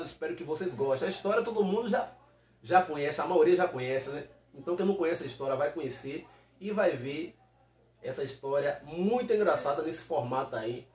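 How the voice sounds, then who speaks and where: A man hosts a casual vlog. His voice is slightly high, and it sounds roomy, picked up a little way from the mic. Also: darkish tone. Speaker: channel host. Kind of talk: casual vlog introduction to camera. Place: small home room with computer, indoors. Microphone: camera a short distance away. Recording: roomy, slightly distant microphone.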